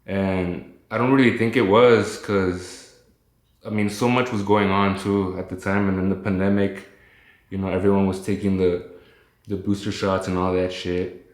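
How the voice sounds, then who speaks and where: A man's voice: sits low, level tone, normal speed, echoey room. A man chatting casually as a guest on a call, rambling and reflective, with easygoing slang. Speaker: phone guest recounting his illness.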